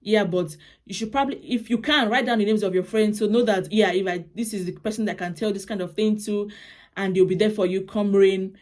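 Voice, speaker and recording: A woman's voice: medium pitch, steady tone, brisk, a little mellow. A young Nigerian woman, a native Yoruba speaker with a Nigerian accent, giving casual advice in a vlog, chatty and often restarting her phrases. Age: young adult. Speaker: vlogger.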